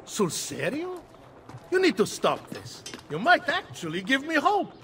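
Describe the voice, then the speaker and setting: A man's voice: extremely high pitch, wildly swooping pitch, unhurried, a bit bright, slight background noise, heavily acted. An actor playing a nervous, sardonic Italian nobleman in debt, with an Italian accent, in a video game cutscene. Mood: anxious, exasperated. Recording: background music.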